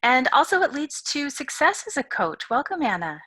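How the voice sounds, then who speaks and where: A woman's voice: high-pitched, big pitch swings, moderate pace, pushed and bright. A woman, hosting an interview with introductions and questions. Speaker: interview host.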